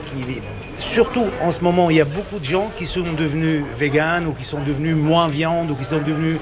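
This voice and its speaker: A man talks up his restaurant's food in a street interview. His voice sits fairly high, and he pauses on fillers as he lists dishes. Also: crowd noise. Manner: warm promotional, hesitant fillers. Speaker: restaurant worker.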